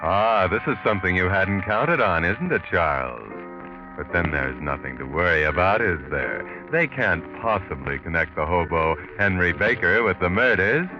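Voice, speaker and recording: An actor playing an ominous, taunting narrator of a 1940s radio mystery. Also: male voice, sits low, very animated, moderate pace, over music. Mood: mocking reassurance. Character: ominous, taunting omniscient narrator addressing the killer. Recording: muffled 1940s radio recording.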